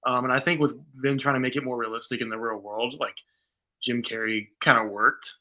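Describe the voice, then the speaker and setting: A man's voice: mid-range voice, steady tone, fairly quick, bright, forceful tone. A man co-hosting a casual fan talk show, speaking in an informal, conversational and noncommittal way. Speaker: co-host of a video game fan show. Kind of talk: casual conversational film discussion.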